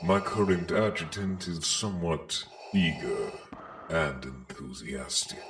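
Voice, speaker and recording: Menacing dark lord, extremely deep, slow, commanding, formal, comic dub, faint noise. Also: male voice. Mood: cold, commanding. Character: menacing armored Sith lord, commanding and terse. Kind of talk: dramatic comic dub acting.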